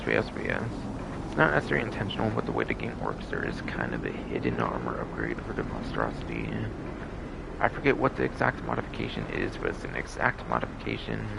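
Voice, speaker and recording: A man with a gravelly voice giving casual, rambling, repetitive video game commentary as a live streamer, often swearing. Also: rumbling low, heavy background noise. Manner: repetitive, often repeating "but yes".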